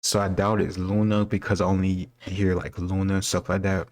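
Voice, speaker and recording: Low-pitched man, flat casual delivery. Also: moderate pace. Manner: informal, rambling, thinking aloud. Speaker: host reacting to history quiz questions. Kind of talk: casual off-the-cuff quiz commentary.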